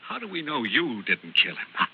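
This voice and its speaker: A troubled husband with a guilty past in an old radio thriller. He speaks earnestly and haltingly in a husky voice with lively pitch. The vintage recording sounds a bit muffled. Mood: guarded. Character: guilt-ridden, earnest husband confessing to embezzlement. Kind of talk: old-time radio drama acting.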